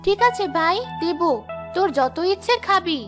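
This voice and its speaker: A woman's voice: very high voice, normal speed, over music. A woman playing a sweet, dutiful young wife and daughter-in-law in a Bengali cartoon. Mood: cheerful.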